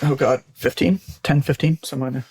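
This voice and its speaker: A man's voice: mid-range voice, fairly even intonation, fast talker, darkish tone, breathy edge, no echo. A man speaking candidly and emphatically as an interview guest, telling stories conversationally and swearing freely. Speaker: guest, business owner and author.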